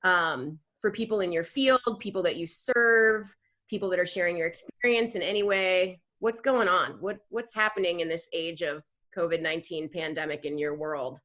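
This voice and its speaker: Bright-voiced lady hosting a podcast on a video call, giggly and casual. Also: lowish voice, moderate pace. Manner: upbeat, giggly, informal. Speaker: podcast host.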